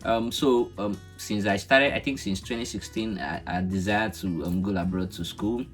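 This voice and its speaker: A young man with a Nigerian accent and a very low voice talks as a guest in a relaxed chat. He explains his points earnestly, one step at a time. Light music plays faintly behind him. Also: moderate pace. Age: young adult. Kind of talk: casual chat.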